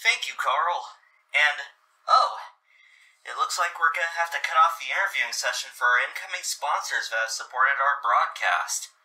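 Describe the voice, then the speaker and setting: A man's voice: fairly high pitch, moderate pace, bright, forceful tone, rough recording. A man playing a polite local radio news host, reading a scripted broadcast. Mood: polite, businesslike.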